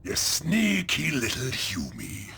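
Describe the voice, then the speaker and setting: An actor playing a brutish, mocking male ork warboss in a video game cutscene, with a growling, gravelly voice and crude slang. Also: medium pitch, huge pitch swings, takes it slowly, a little hiss or hum, heavily acted. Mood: taunting. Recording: game score loud behind.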